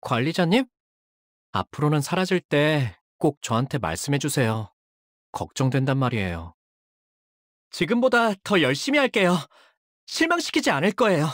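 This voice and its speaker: Young male game character, played by an actor, polite, soft-spoken, dramatic, widely swinging pitch, dry close sound. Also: big pitch swings, normal speed, long pauses, no echo, lightly acted. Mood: earnest, anxious. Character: earnest, anxious young man, a devoted shielding fighter; tormented young male zealot who purifies with fire. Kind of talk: video game character voice lines.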